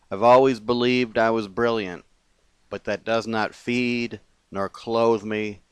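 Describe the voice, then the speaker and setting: A man gives a poetry reading of personal, confessional verse. His voice is smooth and on the low side, and he takes it very slowly, breaking the lines into deliberate short phrases with pauses. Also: no room sound. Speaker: poet.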